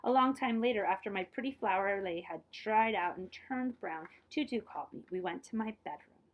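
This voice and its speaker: A woman reading a children's picture book aloud in an expressive, dramatized way, dropping to hushed phrase endings and adding short asides. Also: mid-range voice, moderate pace, poor audio.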